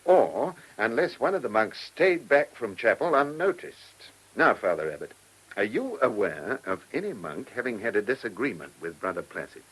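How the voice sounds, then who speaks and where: An actor playing a shrewd, brisk chief inspector in a radio play, questioning people with clipped authority. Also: male voice, lowish voice, very animated, a bit bright, husky, heavily acted, poor audio. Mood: probing. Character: shrewd, brisk male police chief inspector following hunches. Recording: radio drama recording.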